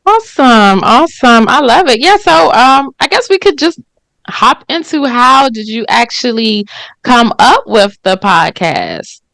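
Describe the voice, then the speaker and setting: A woman's voice: fairly high pitch, animated, unhurried, creaky, fairly bright tone, no room sound. A woman hosting a podcast interview, asking questions with brief encouraging replies. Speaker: podcast host. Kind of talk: casual interview chat.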